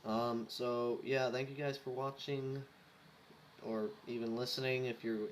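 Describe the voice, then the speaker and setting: A man's voice: lowish voice, slight background noise. A young man reading fan fiction casually, with offhand, self-deprecating comments and stumbles over his words. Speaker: amateur fan fiction reader.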